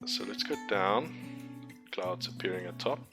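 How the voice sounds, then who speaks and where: South african guy, afrikaans first language, kinda low voice, ums a lot while showing off his game map, music playing under him. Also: animated, unhurried, bright, forceful tone. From South Africa, Cape Town. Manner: hesitant, many ums and restarts, easygoing. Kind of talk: casual screen-share walkthrough. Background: background music.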